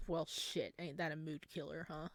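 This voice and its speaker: A woman voicing a cocky, rough-voiced male shopkeeper who is a predatory cannibal, with a taunting, menacing drawl. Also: low-pitched, flat delivery, moderate pace, dry recording, voice pushed down.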